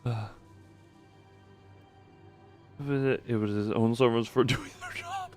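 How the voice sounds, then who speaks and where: A man's voice: mid-range voice, huge pitch swings, moderate pace, darkish tone. A man doing casual live commentary as a game streamer, exclamatory and rambling. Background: faint music.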